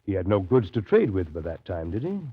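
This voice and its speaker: An actor playing a weary, sardonic steamboat captain in a 1930s radio drama, with a dry, literary, brooding delivery. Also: male voice, deep voice, huge pitch swings, brisk, slightly rough, full character voice. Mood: probing. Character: weary, sardonic male steamboat captain telling his tale. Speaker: actor, narrator. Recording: muffled, noisy 1930s radio recording.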